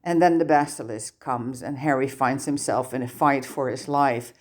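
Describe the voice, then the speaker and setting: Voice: medium pitch, fairly even intonation, normal speed. A narrator reading fan fiction aloud as scripted audiobook-style narration. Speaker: podfic narrator.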